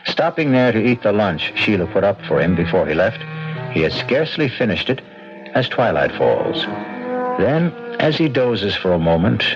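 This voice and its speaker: An actor as the host and narrator of a mystery radio drama, an ominous, theatrical storyteller with an American accent. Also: male voice, on the low side, big pitch swings, moderate pace. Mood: storytelling. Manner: portentous, theatrical. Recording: muffled old radio, music behind.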